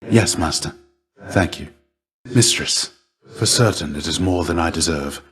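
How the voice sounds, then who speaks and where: A middle-aged man acting a video game role, a gentle, weary warrior shade and mentor, speaking in a deep, soft-edged voice with measured, courteous, old-fashioned formality. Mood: formal, deferential.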